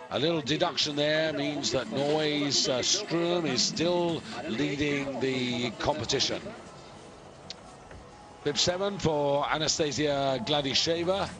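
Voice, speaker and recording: A man giving live, measured commentary on a ski jumping competition, explaining scores and distances with pauses and fillers. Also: medium pitch. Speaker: sports commentator.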